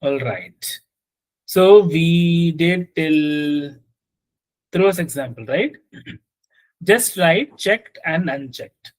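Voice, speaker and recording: A man teaching an online software class over a video call, often checking in with his students, with a slight cough. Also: on the high side, expressive, extremely slow, pauses often, low-quality recording. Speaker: software trainer.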